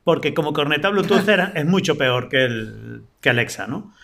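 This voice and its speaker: Guy with a bright forward voice, chatting casually, opinionated and jokey, goes on explaining. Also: fairly high pitch, expressive, moderate pace, vocal fry. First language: Spanish. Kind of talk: casual group chat.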